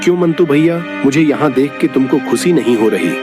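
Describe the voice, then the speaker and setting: A man's voice: mid-range voice, animated, fairly quick, over music, close to an everyday voice. A man playing a good-hearted, earnest village labourer in a moral story cartoon. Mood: pointed.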